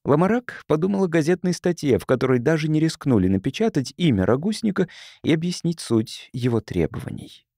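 A man's voice: on the high side, sing-song, fairly quick, dark tone, no echo, clean studio sound. A man reading a science fiction audiobook as a scripted narrator.

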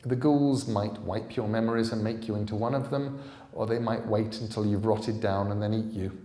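A man's voice: fairly low pitch, steady tone, moderate pace, warm tone, lightly acted. A man voicing a self-possessed, defiant boy with a cool, matter-of-fact manner in a story reading. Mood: cool, warning. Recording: echoey hall, public reading.

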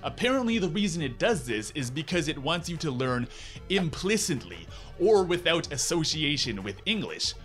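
A man's voice: fairly high pitch, very animated, normal speed, a bit bright, faint music behind. A man in his twenties delivering a sarcastic, exasperated scripted review rant as a video host, close on the mic. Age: 20s. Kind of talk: scripted comedic review commentary. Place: small room at home, indoors. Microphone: close desk microphone.

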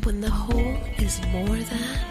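Slow soft-spoken woman over loud music. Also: fairly high pitch. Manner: slow, soft, half-whispered recitation. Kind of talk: spoken-word poetry over a music track.

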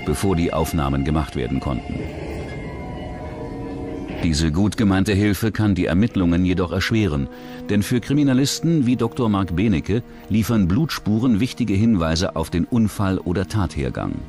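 A man reading scripted true-crime documentary narration in a measured, dramatic storytelling manner. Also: deep voice, animated, over music.

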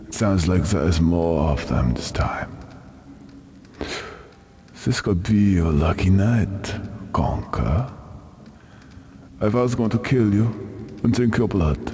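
An ancient aristocratic vampire from a video game, speaking slowly and very low. His Transylvanian accent is theatrical, his manners courtly and formal, with gloom and menace under every phrase. Noise runs behind the voice. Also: heavily acted. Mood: smooth, menacing. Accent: mock Transylvanian. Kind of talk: video game cutscene acting.

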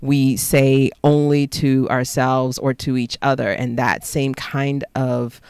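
A woman's voice: rumbling low, flat delivery, slow, darkish tone, clean tone, dry recording. A woman podcast host talks about books in an easy conversational way. She leans on key phrases, repeating them for stress. The sound is dry, with very little room. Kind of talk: conversational book discussion.

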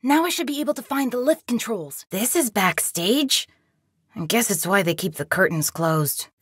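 A woman's voice: fairly high pitch, lively intonation, moderate pace, a bit bright, slightly rough, dry recording, clean studio sound. A woman voicing a scared but defiant young boy in a video game, with an urgent, plaintive and sometimes snarky manner. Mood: grumbling, curious.

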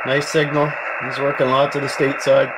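A man working as an amateur radio operator, spelling call signs in a clipped, deliberate, repetitive way. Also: medium pitch, monotone, very noisy. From Canada. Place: indoor radio desk, beside the transceiver.

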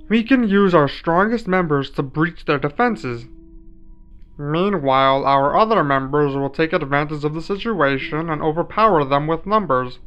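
Male actor as deferential, plotting villain second-in-command; lighter-pitched, clean tone, roleplay audio with music underneath. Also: fairly bright tone, smooth. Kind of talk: roleplay ASMR villain acting. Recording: slightly dull sound, music behind.

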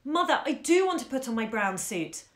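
A stubborn little boy, voiced by a woman reading aloud, nags in a high, plaintive whine. His pleading is nasal and fretful and stays insistent. The storybook reading sounds roomy and echoey. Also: sing-song, normal speed. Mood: whining. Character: insistent whining little boy, five years old. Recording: roomy echo.

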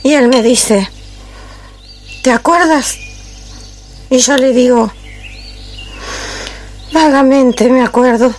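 A woman playing a melancholy, lonely wife in a poetic theatrical monologue, with a measured, reflective delivery. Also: high-pitched, slight background noise. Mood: wistful, resigned. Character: melancholy wife recalling a silent, lonely marriage. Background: music.

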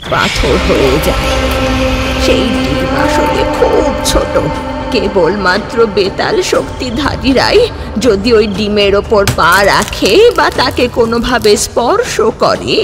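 A woman voicing a stern, wise old village sorceress who gives firm orders and tells dark tales. Loud music plays behind her. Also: medium pitch, big pitch swings, slow. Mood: storytelling. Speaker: healer of the story.

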